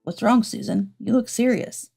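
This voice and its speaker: A woman's voice: on the high side, normal speed, creaky, a little mellow, husky, faint music behind. A woman voicing an evasive, self-justifying cheating husband in a dramatized story.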